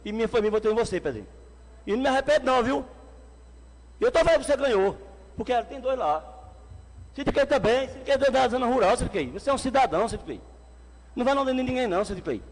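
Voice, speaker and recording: Fired-up man shouting in echoing hall. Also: extremely high pitch, expressive, fast, pauses often. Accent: Pernambuco Brazilian Portuguese. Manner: angry, shouting, waving his arms. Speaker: city councilman. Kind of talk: heated council floor speech. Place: council chamber indoors. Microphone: desk microphone on stand. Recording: long reverb of a council hall.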